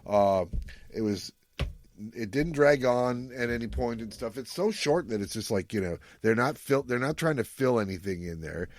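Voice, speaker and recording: A man's voice: medium pitch, expressive, moderate pace, no echo. A man hosting a podcast in a casual, playful and informal way as he recaps and reviews a story. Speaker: podcast host.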